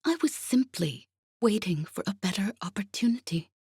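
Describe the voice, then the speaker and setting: A woman voicing a calm, calculating veteran female spy in an audiobook, speaking softly and breathily with quiet assurance. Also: medium pitch, moderate pace, husky, dry recording, studio quality, pitched above the performer's own voice. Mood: cool, assured. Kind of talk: audiobook character acting.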